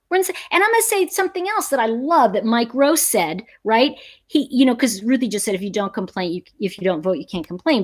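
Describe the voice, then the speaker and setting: A middle-aged American woman hosting a casual livestream chat, talking emphatically and conversationally and repeating phrases for stress. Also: fairly high pitch. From United States. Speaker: livestream host; teacher. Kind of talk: casual livestream chat, reading and answering viewer comments.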